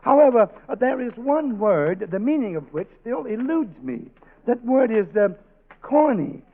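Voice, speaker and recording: An actor playing a prim, naive, bookish professor, formal, stammering and fussily polite, in 1940s radio comedy style. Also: male voice, extremely high pitch, big pitch swings, measured pace, exaggerated voice. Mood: earnest, curious. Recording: tinny, band-limited 1940s radio recording.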